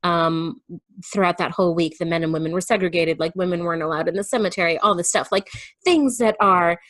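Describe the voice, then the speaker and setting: A woman speaking as an interview guest in a reflective, casual discussion, thinking aloud in a halting way. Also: on the low side, fairly bright tone, no room sound.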